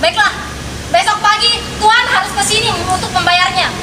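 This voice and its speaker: A woman's voice: very high voice, normal speed. Female student actor as indignant theatrical madam, stage play, distant mic, noisy background. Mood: flustered, dismissive. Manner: theatrical, exclaiming, suspicious. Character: flustered, indignant married lady, easily tempted by money. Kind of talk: stage drama acting. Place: outdoor car park stage. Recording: distant echoey stage recording with loud background noise.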